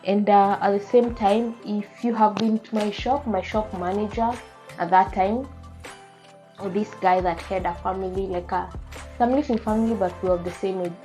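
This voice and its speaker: A woman's voice: medium pitch, steady tone, normal speed, mellow, faint music behind. A woman in her twenties with a Kenyan accent, chatting casually to her viewers as a beauty and lifestyle vlogger in an earnest, emphatic manner. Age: 20s. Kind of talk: casual chat to camera.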